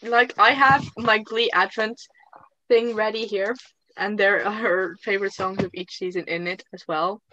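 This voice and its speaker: A woman's voice: mid-range voice, steady tone, moderate pace, bright, forceful tone, highs cut off, a little hiss or hum. A woman chatting casually with a co-host about favorite songs, often giggling. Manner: giggly, breaks into singing lines. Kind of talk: casual fan chat.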